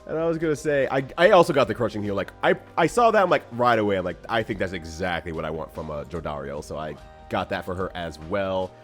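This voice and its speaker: A man giving lively, jokey game commentary with drawn-out exclamations and casual chat. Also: deep voice, soft background music. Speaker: play-by-play commentator and player.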